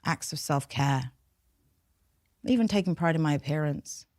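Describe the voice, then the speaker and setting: A woman's voice: deep voice, moderate pace, no room sound, studio quality. A woman with a London accent whose first language was Farsi, speaking as an interview guest in a frank, reflective way. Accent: London English. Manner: reflective, frank, steady flow of long sentences. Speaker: podcast guest, behaviour change scientist. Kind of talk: candid interview storytelling.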